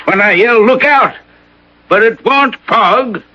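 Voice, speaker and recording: A man's voice: sits high, measured pace. An actor playing a blunt, folksy local man in an old-time radio drama, plain-spoken and loose with his grammar. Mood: insistent. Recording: dull, noisy vintage radio broadcast.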